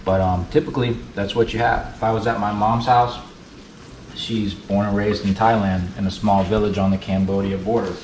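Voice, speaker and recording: A man from the United States hosting a relaxed, chatty cooking tutorial in his kitchen. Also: fairly low pitch, moderate pace, a little hiss or hum. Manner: relaxed, chatty, fond of saying beautiful. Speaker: cooking channel host. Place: kitchen with food frying on the stove. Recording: roomy sound, mic set at a distance, sizzling pan.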